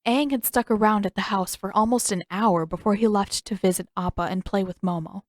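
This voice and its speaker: A woman's voice: medium pitch, a little fast, no room sound, studio quality. A woman reading scripted fiction aloud as an audiobook narrator.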